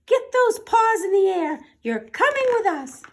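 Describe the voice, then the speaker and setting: A woman voicing a blustering, bossy male mouse commander with a squeaky voice in a children's storybook. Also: very animated, measured pace, heavily acted. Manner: shouting orders, exclamatory. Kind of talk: children's storybook read-aloud.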